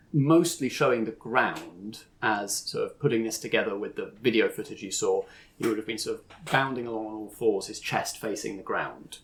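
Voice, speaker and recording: Lower-pitched man narrating a game. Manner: explanatory, thinking aloud. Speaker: game master. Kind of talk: improvised tabletop game narration.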